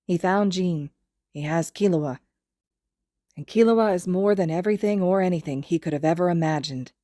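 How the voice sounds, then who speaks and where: A woman narrates fiction as an audio story reader. Her pitch sits a little low. The recording is dry, with no room sound. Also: moderate pace, mellow, dry recording. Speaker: podfic reader.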